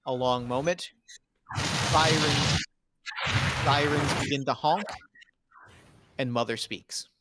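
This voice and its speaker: A man's voice: medium pitch, slow pace, background noise. A man narrating screenplay stage directions in dramatic, clipped phrases for a table read.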